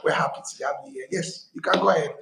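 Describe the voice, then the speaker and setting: A man's voice: fairly high pitch, fast, creaky, echoey room, heavy background noise. A man hosting a casual live-stream chat, with a playful, hyping manner. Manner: animated, playful hype.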